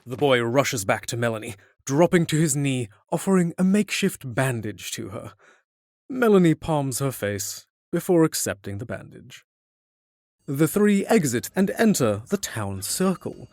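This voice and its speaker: A man's voice: mid-range voice, very animated, a little slow, darkish tone, dry recording, clean studio sound. A man reading scripted narration for a fantasy audio drama.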